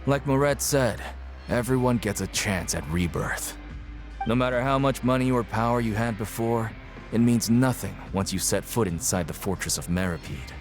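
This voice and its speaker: Man playing a low-voiced, cynical prison inmate. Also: normal speed, natural voice. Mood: matter-of-fact, reflective. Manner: curt, dismissive, streetwise, jaded. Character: gruff, cynical male prison inmate working as guide. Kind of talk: video game character acting. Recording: game music behind the voice.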